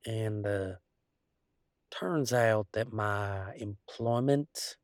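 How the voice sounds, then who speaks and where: A man playing an easygoing, affectionate country werewolf boyfriend, talking in a folksy, halting way in an intimate roleplay audio. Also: medium pitch, no room sound, studio quality, close to an everyday voice. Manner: folksy, drawling slang, halting asides.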